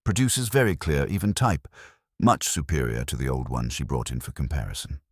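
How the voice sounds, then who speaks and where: A man's voice: very deep voice, breathy edge, dry recording, studio quality, voice pushed down, heavily acted. A man voicing a proud stationery shopkeeper in a mystery story, precise and businesslike. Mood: professional pride. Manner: brisk commercial precision.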